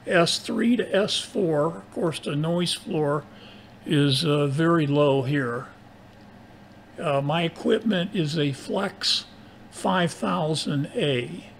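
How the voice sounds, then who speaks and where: A man in his sixties with an American accent chatting casually as a radio operator, in halting, measured phrases over a noisy recording. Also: a little high, gravelly. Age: 60s. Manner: halting, measured delivery with spelled-out call signs. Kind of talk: casual ham radio chat.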